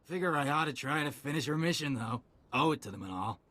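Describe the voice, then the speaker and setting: Drunk soldier in a game, rambling and fatalistic, sharp bright voice. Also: male voice, on the high side, lively intonation, fast. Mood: boozy, resigned. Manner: tipsy, rambling. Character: boozy, fatalistic soldier, last of his squad. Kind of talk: video game dialogue acting.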